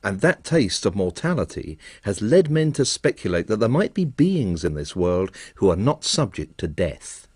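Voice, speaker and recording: A man's voice: on the low side, very animated, a little mellow, husky, no room sound, studio quality. A man presenting a radio arts documentary in dramatic scripted narration. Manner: dramatic, slow-paced narration.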